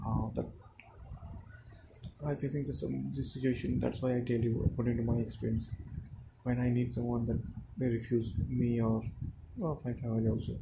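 A young Indian man with Hindi as his first language talks to his listeners in slow, halting English, pausing often on "uh". His voice is gravelly and stays mostly on one note. There is clear noise behind him in the recording. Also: mid-range voice, little pitch movement. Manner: halting, many "uh" fillers, non-fluent English. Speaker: audio diary speaker. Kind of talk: personal monologue to listeners.

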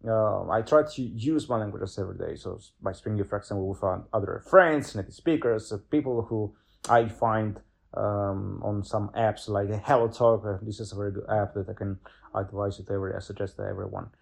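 A man's voice: lowish voice, brisk, creaky. A young man with an Italian accent, a guest in an interview, speaking English as a second language with many fillers and restarts. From Italy. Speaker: guest polyglot. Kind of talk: casual interview chat.

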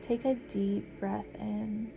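A woman guiding a yoga nidra meditation in a measured, soothing, instructional way with pauses. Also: medium pitch, little pitch movement, creaky, music in the background, low-quality recording. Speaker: yoga nidra meditation guide and podcast host. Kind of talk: guided meditation narration.

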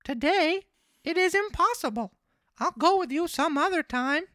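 A woman voicing a naive, excitable wooden marionette boy with an earnest, childlike delivery in a storybook reading. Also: extremely high pitch, moderate pace, no echo, clean studio sound, voice pushed up. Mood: hesitant.

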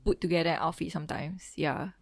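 A woman's voice: low-pitched, steady tone, quick pace, gravelly. Chatty young Singaporean woman. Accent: Singaporean English. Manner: chatty, giggly, uses local slang. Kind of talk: casual chat.

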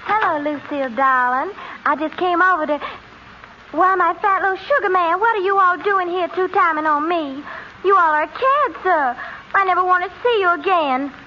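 A woman's voice: very high-pitched, moderate pace. A woman playing a jealous, sugary Southern belle with a drawling Southern US accent in 1940s radio comedy. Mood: scolding, syrupy. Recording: noisy, dull 1940s radio recording.

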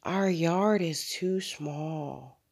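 Lady putting on a flustered drowsy storybook mom, way higher, swoopy, stretches out her objections. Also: sing-song, takes it slowly. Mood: disapproving. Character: sleepy, startled, disapproving storybook mother.

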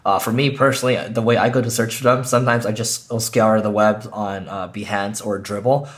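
A man co-hosting a business podcast, hoarse and raspy after losing his voice, talking loosely with lots of ums and uhs. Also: fairly low pitch, fairly even intonation, fairly quick. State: hoarse from a lost voice. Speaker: podcast co-host. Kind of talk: casual business podcast chat.

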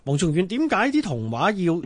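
A man's voice: high-pitched, wildly swooping pitch, brisk, no echo. A man with a Hong Kong Cantonese accent moderating a casual discussion and posing questions as host. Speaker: talk show host.

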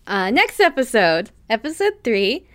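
A woman's voice: fairly high pitch, unhurried. Woman casually recounting a story. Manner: animated storytelling with acted-out quotes and frequent "like". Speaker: podcast host recapping an anime. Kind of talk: casual chatty episode recap.

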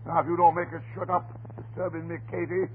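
An actor playing a chatty, stubborn off-duty police sergeant in an old-time radio drama, with a blustering, folksy way of talking. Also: male voice, fairly high pitch, flat delivery, fast talker, lightly acted. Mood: indignant, insistent. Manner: blustering, garrulous, folksy turns of phrase. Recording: noisy, narrow-band vintage radio recording.

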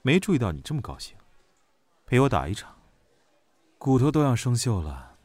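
A man's voice: medium pitch, big pitch swings, normal speed, a little mellow, no room sound. A man acting a blunt, naive young military officer in a Chinese audio drama. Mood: teasing. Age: young adult. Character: blunt, naive young male military major general, sci-fi world. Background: music.

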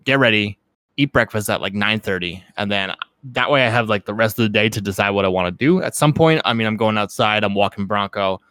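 A man's voice: on the low side, brisk, fairly bright tone, smooth, no echo. Laid-back young man, dry close sound. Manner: laid-back, swears freely, laughs often. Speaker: podcast co-host. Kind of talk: casual podcast chat.

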